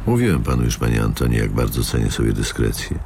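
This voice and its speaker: An actor playing a cold, menacing elderly company boss in a radio drama, gravelly and coolly formal, deliberate and controlling. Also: male voice, extremely low pitch, sing-song, darkish tone, slight background noise, exaggerated voice. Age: older.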